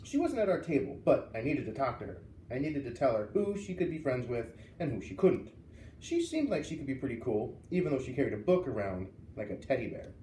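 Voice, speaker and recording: A man acts out a catty preteen girl from a children's storybook, full of sassy slang. The pitch jumps around with gossipy, bossy energy. The sound is roomy and a little far from the mic. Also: big pitch swings, brisk, warm tone, natural voice. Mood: chatty, gushing. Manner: valley-girl slang, gum-snapping, sassy. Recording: roomy, distant mic, faint noise.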